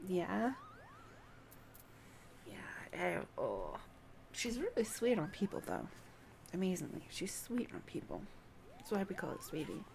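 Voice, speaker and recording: Slow-paced woman chatting on a game stream. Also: lowish voice, wildly swooping pitch, vocal fry, darkish tone, a little hiss or hum. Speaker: game livestreamer. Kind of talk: casual stream chat, reading game dialogue aloud.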